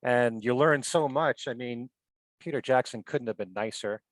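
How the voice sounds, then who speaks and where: Relaxed man hosting, dry close sound. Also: medium pitch, moderate pace, no room sound. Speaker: radio and podcast host. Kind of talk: casual interview chat. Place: lives in Connecticut.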